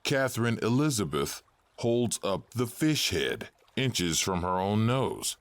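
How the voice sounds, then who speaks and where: A man's voice: lowish voice, sing-song, slow, no echo. A man narrating stage directions for a scripted audio drama.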